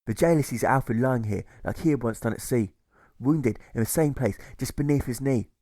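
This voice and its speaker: A man reading a rhyming audiobook as scripted narration, with theatrical, measured emphasis. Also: mid-range voice, warm tone, dry recording, clean studio sound. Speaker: author reading his own audiobook.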